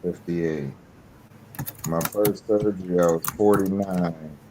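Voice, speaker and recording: A man in his late fifties with a very low voice chats casually about his own experiences. He speaks slowly and unhurriedly, with a faint rumble of noise behind him. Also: steady tone, a little mellow, low-quality recording. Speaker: podcast guest sharing personal experience. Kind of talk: casual personal conversation.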